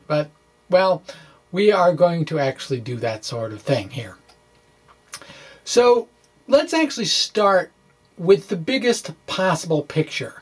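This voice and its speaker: A person reading a physics blog aloud as a science explainer, with deliberate, theatrical phrasing and informal asides. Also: medium pitch.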